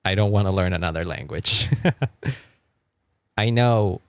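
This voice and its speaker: A man's voice: low-pitched, slow, smooth, no echo. A man from Argentina, a Spanish speaker, chatting casually on a live stream. He rambles, repeats words as he stammers, and laughs often. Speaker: music streamer.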